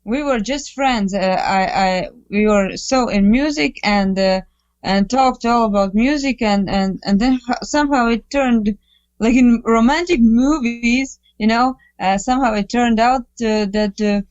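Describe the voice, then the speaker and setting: A woman's voice: a little high, measured pace, a little mellow, smooth, dry recording, highs cut off. Hesitant woman on a call. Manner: hesitant, many fillers, shy laughs. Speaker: singer-songwriter guest.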